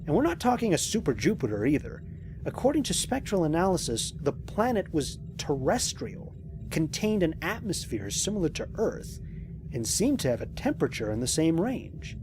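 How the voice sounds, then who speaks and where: A man playing a lone spaceship commander and scientist in an audio drama, recording mission logs in a measured, reflective, precise way. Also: fairly high pitch, big pitch swings, over music, rough recording. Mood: intrigued. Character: lone male spaceship commander, thoughtful scientist, quietly amazed.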